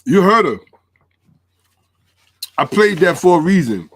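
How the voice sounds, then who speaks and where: A man's voice: sits high, sing-song, measured pace, slight background noise. A man hosting a livestream commentary, talking to viewers with emphatic, repeated phrases.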